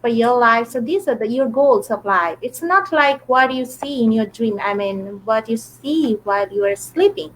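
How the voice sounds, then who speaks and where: Woman, online English teacher, high voice, patient explainer, video call with faint background hiss. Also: animated, moderate pace, few pauses, poor audio. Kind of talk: live online class.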